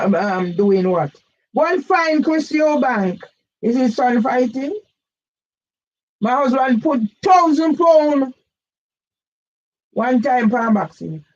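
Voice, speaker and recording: An older woman with a Jamaican accent, delivering an agitated, repetitive monologue for her own channel that rises now and then into shouts. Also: on the high side. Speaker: vlogger. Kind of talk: impassioned monologue. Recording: dull lo-fi audio.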